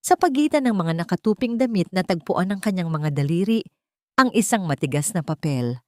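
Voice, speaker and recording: A woman's voice: fairly low pitch, expressive, brisk, warm tone, dry recording, studio quality. A woman with a Filipino accent reading a scripted, dramatic story as a storyteller.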